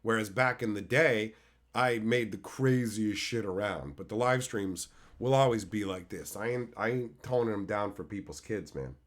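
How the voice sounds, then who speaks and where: A man, hoarse from a cold, casually chatting with his live-stream viewers and swearing freely. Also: fairly low pitch, moderate pace. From Canada. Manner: loose, swears freely, answers chat by name. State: hoarse from being sick. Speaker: live streamer reading chat.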